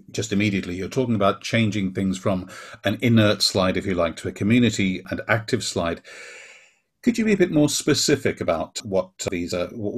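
A man's voice: lowish voice, husky. A man hosting a technology podcast, introducing the show and his guest with dry, wry asides. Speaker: podcast host. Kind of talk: podcast intro and interview questions.

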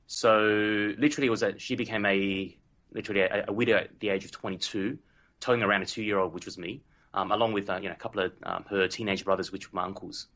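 A man's voice: fairly low pitch, steady tone, fast, a bit bright. A man in his forties from Vietnam with an Australian accent, telling his life story as a podcast guest in an earnest way, often saying "literally" and "so to speak". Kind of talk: casual interview storytelling.

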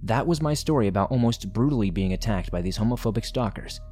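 Male narrator for scary first-person stories, lowish register, deliberate scripted read with soft music underneath. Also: animated. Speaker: narrator of listener-submitted horror stories. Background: background music.

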